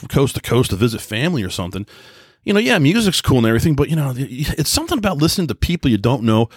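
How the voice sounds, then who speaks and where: A man's voice: medium pitch, sing-song, quick pace, husky, no room sound, studio quality. A man hosting a casual solo podcast, talking in a rambling, informal, conversational way. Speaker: podcast host. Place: indoors at home by a computer.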